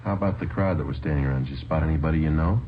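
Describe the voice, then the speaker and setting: An American actor playing a level-headed police detective in 1950s radio drama style, terse and deadpan. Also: male voice, very deep voice, very fast. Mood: probing, calm. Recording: old radio, narrow band, noisy.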